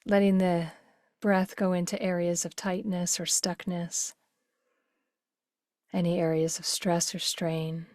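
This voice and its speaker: Low-voiced woman guiding a meditation. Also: little pitch movement, dry recording, studio quality. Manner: gentle, unhurried, soothing delivery with soft breathy phrase endings. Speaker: meditation guide. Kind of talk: guided meditation.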